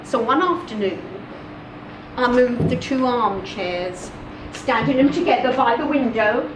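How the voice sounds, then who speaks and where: A woman giving an expressive, deliberate dramatic reading of a first-person short story, with measured pauses. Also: a little high. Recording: roomy, distant mic, clear background noise.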